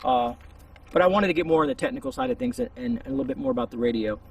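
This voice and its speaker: Male outdoor vlogger, husky and slightly high, informal meandering review delivery, recorded a bit far from the mic. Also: sing-song, very fast. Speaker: YouTube reviewer. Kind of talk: casual, rambling product review. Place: outdoors among trees. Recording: voice distant from the mic.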